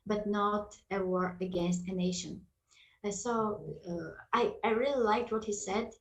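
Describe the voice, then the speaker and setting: A woman from Artsakh with an Armenian accent, speaking as a panelist in an online discussion, hesitant and apologetic, with many fillers and restarts. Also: mid-range voice. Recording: echoey room.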